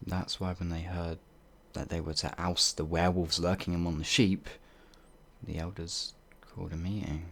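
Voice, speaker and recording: Man acting a deep-voiced, stammering, timid werewolf. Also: little pitch movement, normal speed, vocal fry, fairly bright tone, a little hiss or hum. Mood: meek, fearful. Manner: stammering, hesitant, nervously rambling, meek. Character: timid, anxious, apologetic young male werewolf farmhand. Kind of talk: ASMR audio roleplay monologue.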